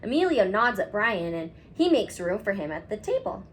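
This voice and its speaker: A woman school teacher speaking expressively to young children as in a storybook read-aloud, affectionate and with gentle emphasis. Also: medium pitch, very animated, normal speed, a little hiss or hum.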